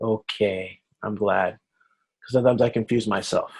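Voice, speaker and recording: A man instructing in an informal online tutorial, thinking aloud in a halting way, correcting himself and checking that he is being followed. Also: lowish voice, fairly even intonation, vocal fry, darkish tone, breathy edge. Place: online video conference.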